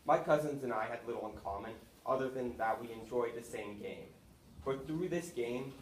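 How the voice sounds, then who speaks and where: A young man, giving an earnest prepared speech to classmates in a school hall, with small restarts now and then. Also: mid-range voice. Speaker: student speaker. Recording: echoey room with faint noise.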